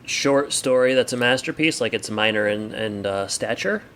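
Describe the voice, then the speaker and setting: A man's voice: mid-range voice, moderate pace, a bit bright. A man co-hosting a podcast in casual chat, with a joking, playful manner. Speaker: podcast co-host.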